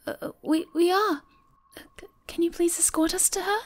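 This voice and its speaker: A woman's voice: extremely high pitch, steady tone, normal speed. A woman playing a shy, anxious young moth girl in an ASMR roleplay, hesitant and stammering. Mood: nervous.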